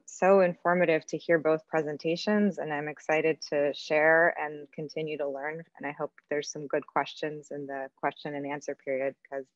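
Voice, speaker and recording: Low-voiced woman on a dull video call. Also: dry recording. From Russia. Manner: thoughtful, chatty, with many ums. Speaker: guest artist lecturer. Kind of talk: online lecture presentation.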